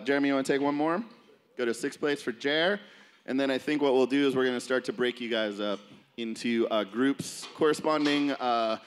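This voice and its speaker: A man leading an informal live gym seminar as a coach, casual and motivational, talking to a group. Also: medium pitch. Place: large indoor gym, speaking to seated group. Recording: reverberant gym hall, distant camera.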